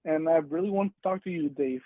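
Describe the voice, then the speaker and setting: Over a dry, phone-like call line comes a man with a foreign accent and a slightly high voice. He is a caller, chatting casually and putting his question politely and with care. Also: quick pace, no echo. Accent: foreign, non-native English. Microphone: remote call. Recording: thin band-limited phone-like call line.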